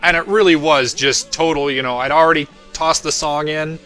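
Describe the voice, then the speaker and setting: A man's voice: fairly high pitch, steady tone, normal speed, pushed and bright, clean tone. A man giving casual, chatty commentary on his own work, wandering into self-amused asides. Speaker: creator doing episode commentary. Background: music playing underneath.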